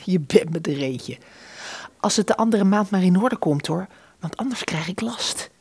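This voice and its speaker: Casting: a woman as a fond, indulgent landlady in her thirties, husky voice, lively swooping delivery, radio play. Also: on the low side, moderate pace, no room sound. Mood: gently admonishing. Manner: indulgent, gently admonishing. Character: kindly motherly landlady and shopkeeper, not yet forty. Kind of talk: Dutch audio drama acting.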